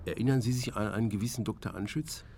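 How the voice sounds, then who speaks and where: A man's voice: fairly low pitch, fast talker, vocal fry, a little mellow, slight background noise. A man playing a dogged, sardonic amateur investigator in a naturalistic radio drama, colloquial and hesitant. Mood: hesitant, probing. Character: dogged, sardonic ex-surveyor turned driver, investigating on his own.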